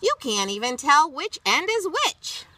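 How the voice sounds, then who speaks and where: Woman voicing a squeamish, high-pitched curious child. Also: sing-song, a little slow, sharp tone, no room sound, distant mic, heavily acted. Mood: doubtful. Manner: exclaiming, questioning. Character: squeamish, curious young child in grandma's garden.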